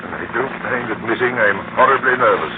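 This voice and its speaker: A man's voice: on the low side, a little fast, close to an everyday voice. Man acting a grave official on scratchy old radio. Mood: grave, nervous. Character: senior British government official, composed, urbane, weary gravity. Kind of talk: 1930s radio drama acting. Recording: scratchy, narrow-band old radio recording, heavy noise.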